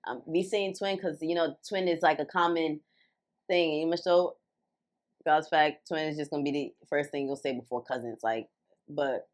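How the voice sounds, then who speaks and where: A woman's voice: low voice, steady tone, moderate pace, darkish tone. A young woman with a New York accent, chatting casually as a podcast guest, rambling with lots of filler words. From New York City. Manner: rambling, full of "like" fillers, laughs often. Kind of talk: casual chat.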